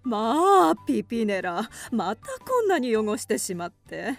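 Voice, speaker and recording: A high, animated female voice as a genteel lady of the house in a radio play. She sounds fussy and exasperated. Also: measured pace, creaky, faint music behind, heavily acted. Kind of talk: audio drama acting.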